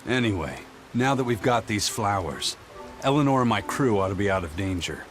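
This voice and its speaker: Casting: actor as weary game pirate captain, low and dry, aloof, music clearly behind him. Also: male voice, lively intonation, moderate pace, close to an everyday voice. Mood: brisk. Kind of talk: English video game dub acting.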